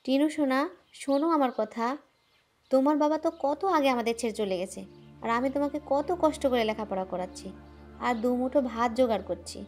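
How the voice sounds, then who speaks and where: Casting: woman playing a worn-out mother, high and rapid, music behind. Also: a little mellow, close to an everyday voice. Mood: weary. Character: poor struggling mother, later greedy. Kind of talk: homemade cartoon voice acting.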